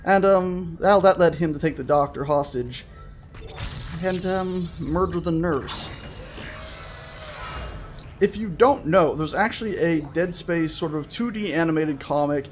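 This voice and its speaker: A man's voice: fairly high pitch, a little slow, long pauses, a little hiss or hum. A man hosting a gaming video with casual, chatty, playful and enthusiastic let's-play commentary. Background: game music.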